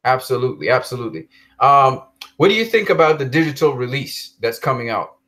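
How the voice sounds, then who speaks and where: A man's voice: mid-range voice, lively intonation, a little fast, fairly bright tone. Enthusiastic man hosting a film chat. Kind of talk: casual chat about films.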